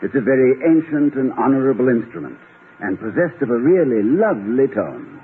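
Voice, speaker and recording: Through a thin, scratchy old radio broadcast comes an actor playing a genteel middle-aged college president. He is eager and a bit pompous, stammering politely, and his pitch moves about freely. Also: sing-song, fairly quick, narrow, tinny audio, lightly acted. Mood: earnest, eager. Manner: hesitant, stammering, courteous, slightly pompous. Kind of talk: old-time radio situation comedy acting.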